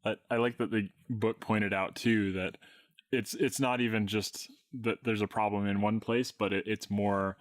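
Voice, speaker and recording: A man co-hosting a podcast, speaking in a measured way with small stammers. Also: fairly low pitch, fairly even intonation, no echo, studio quality. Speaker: podcast co-host. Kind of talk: casual chat.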